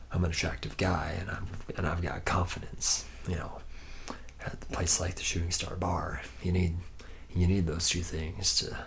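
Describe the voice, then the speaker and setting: A man's voice: deep voice, vocal fry, slight background noise. A man playing a laid-back, drunken womanizer who works at a robot factory, rambling and boasting in slangy, repetitive talk for an ASMR roleplay. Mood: lazy, relaxed. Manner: slack, rambling, boastful, slangy, repeating himself. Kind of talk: ASMR roleplay, soft improvised-sounding monologue.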